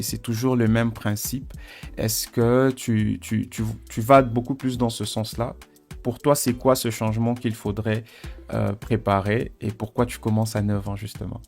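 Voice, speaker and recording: A man's voice: fairly low pitch, moderate pace, creaky, soft background music. A man hosting a podcast interview, speaking casually and often repeating words as he searches for phrasing. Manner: relaxed, hesitant with repeated words. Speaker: podcast host.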